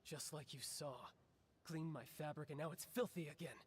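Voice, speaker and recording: An actor voices a put-upon fantasy game character with a husky, breathy edge. The delivery is weary and full of complaint, at a middling pitch. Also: fairly even intonation, normal speed, natural voice. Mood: weary, grumbling. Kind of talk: scripted video game voice acting.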